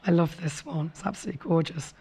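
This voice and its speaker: A woman presenting a televised sewing demonstration with a hoarse, rough voice from a cold. She explains in a chatty, friendly way and apologizes for her voice. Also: fairly low pitch, fairly even intonation, fast, warm tone. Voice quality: rough, raspy voice. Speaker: craft show presenter. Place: TV studio set.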